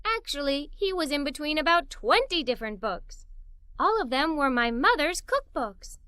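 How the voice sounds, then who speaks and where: A woman tells a children's story in the first person as a young girl. Her voice is high and bright, with an expressive storyteller's delivery. The recording is close and dry. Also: moderate pace, no echo. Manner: animated, theatrical, giggly storytelling delivery. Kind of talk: expressive scripted read-aloud for young children, told as a young girl.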